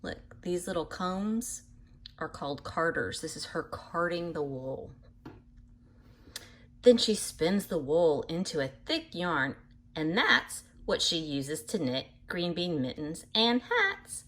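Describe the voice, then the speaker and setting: A woman reading a picture book aloud to children in an animated way, pausing to explain things patiently in simple words. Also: on the low side. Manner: animated, acts out animal sounds, addresses young listeners. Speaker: read-aloud storyteller for children.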